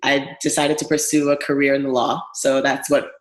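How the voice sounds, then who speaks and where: A young woman from Ghana, a guest business owner telling her story in a relaxed, friendly way, laughing easily. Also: very deep voice, moderate pace. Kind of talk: casual interview conversation. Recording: echoey room sound.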